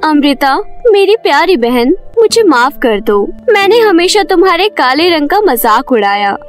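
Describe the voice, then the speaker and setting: A woman voicing a remorseful, affectionate young village girl in an animated fairy tale, pleading and emotional. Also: high-pitched, unhurried, soft background music.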